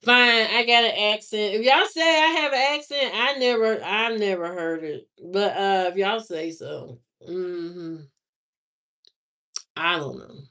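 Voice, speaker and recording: A woman hosting a livestream, chatting casually with viewers in a slow, drawling, musing way. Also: mid-range voice, pushed and bright. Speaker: livestream host. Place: lives in Indiana, not from there.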